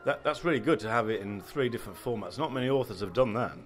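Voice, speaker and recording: A man's voice: medium pitch, expressive, fast talker. A man with an English accent interviewing guests at a launch, asking chatty, friendly questions.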